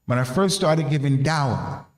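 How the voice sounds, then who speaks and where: A man's voice: mid-range voice, normal speed. American man preaching in an echoey room. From North America. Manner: emphatic, repeating key phrases; colloquial, with rhetorical questions. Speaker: preacher giving a religious reminder to youth. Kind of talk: informal sermon.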